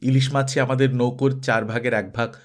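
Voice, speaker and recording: A man reading a literary story aloud as an audiobook narrator, in an expressive, dramatic storytelling manner. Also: medium pitch, a little fast.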